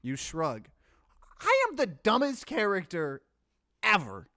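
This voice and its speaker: Slightly high-voiced man narrating a game. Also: wildly swooping pitch, slow, sharp tone, no room sound, studio quality. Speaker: gaming let's play host. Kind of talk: reading visual novel narration aloud with casual commentary.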